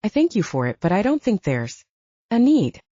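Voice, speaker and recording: A woman narrator acts out a stubborn, guarded young heroine in a paranormal audiobook. She is wry and firm, with animated intonation and a slightly muffled recording. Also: mid-range voice, normal speed. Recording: dull lo-fi, roomy sound.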